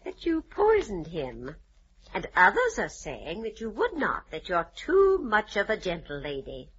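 Fluttery husky gentlewoman, old radio. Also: medium pitch, huge pitch swings, a little slow, exaggerated voice. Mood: tender, hesitant. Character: fluttery, sweet gentlewoman, hesitant friend of the accused. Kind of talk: old-time radio drama acting. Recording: muffled old radio recording.

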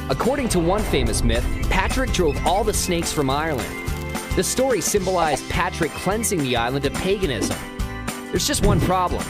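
Narrator over loud music, very lively pitch. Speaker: documentary narrator. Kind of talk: scripted informational narration.

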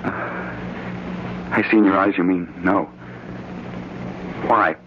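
An actor playing an obsessed, tormented murderous man with halting, intense delivery in the melodramatic style of forties radio horror. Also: low-pitched, phone-quality sound. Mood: bitter, insistent. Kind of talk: 1940s radio horror acting. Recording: noisy, band-limited old radio recording.

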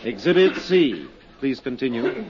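An actor playing a methodical courtroom prosecutor in a 1950s radio drama, questioning formally and deliberately. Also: male voice, medium pitch, sing-song, a little mellow. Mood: formal, probing. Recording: dull vintage 1950s radio recording.

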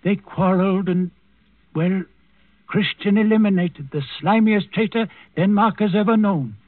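An actor playing an old Danish servant and secret resister, telling his story deliberately in a 1940s radio drama. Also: high voice, no room sound. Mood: grimly confiding. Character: old Danish servant, secret underground plotter, quietly defiant. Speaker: first-person storyteller in a radio play. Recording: muffled 1940s radio recording with music.

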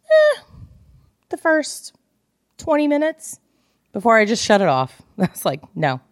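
A woman's voice: on the high side, wildly swooping pitch, measured pace, dry recording, studio quality. A woman co-hosting a casual book club podcast, chatting in an informal, teasing and opinionated way. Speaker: podcast co-host.

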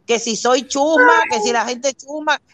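A Cuban woman with a Cuban accent chatting on a live show, telling an argument in animated detail and quoting herself and others. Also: sits high, measured pace, heavy background noise. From Cuba. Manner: animated storytelling, quoting her own arguments. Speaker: guest on a live talk show. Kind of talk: casual heated chat.

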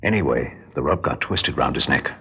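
An actor playing a composed, authoritative museum official, measured and knowing, in old radio drama style. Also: male voice, extremely low pitch, expressive, fairly bright tone, husky, exaggerated voice. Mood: concerned. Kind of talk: 1960s radio drama acting. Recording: muffled, reverberant old radio recording.

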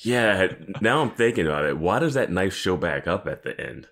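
A man's voice: deep voice, big pitch swings, moderate pace, vocal fry, fairly bright tone. A man co-hosting a podcast, casually riffing on a TV episode with incredulous, slangy asides and mock quotes. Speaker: podcast co-host. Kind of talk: casual chat commenting on a TV episode.

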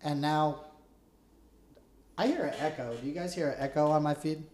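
A male podcast host with a fairly high, loud voice that carries, heard in an echoey room. He talks like a soldier among friends, swapping war stories casually. His language is blunt, slangy and heavily profane. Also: normal speed, slight background noise. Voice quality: loud, carrying voice. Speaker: podcast host interviewing a fellow veteran.

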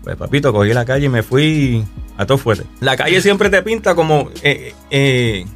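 A man with a Puerto Rican accent gives his testimony on a radio show, speaking in an easy, halting way full of fillers and restarts. Faint music plays softly beneath him. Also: medium pitch. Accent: Puerto Rican Spanish. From Puerto Rico. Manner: relaxed, hesitant, many fillers and restarts. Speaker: guest musician.

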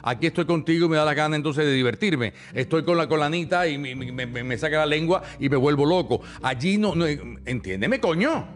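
A man's voice: a little high, lively intonation, brisk, hardly any pauses. A man chatting as a guest, joking crudely and playfully. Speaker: podcast guest. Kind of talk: casual chat.

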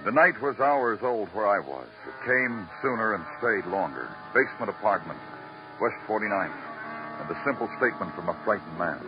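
World-weary noir detective, low register, terse and moody, dull vintage radio sound. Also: male voice, big pitch swings, moderate pace, loud music behind the voice. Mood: brooding. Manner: terse, moody, poetic delivery. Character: world-weary, brooding male New York police detective narrating his case. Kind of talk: 1940s-50s radio noir narration and acting. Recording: muffled vintage radio broadcast.

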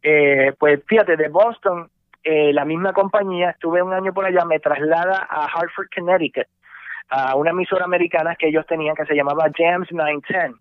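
A man from New York raised in Rico, talking in a relaxed interview about his radio career with many fillers. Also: a little high, normal speed, clear, resonant tone, no room sound. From born in New York, raised between Puerto Rico and the US. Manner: relaxed, many fillers, mixes English words. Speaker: radio host guest. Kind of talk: casual interview, recounting career. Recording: tinny phone line, dry.

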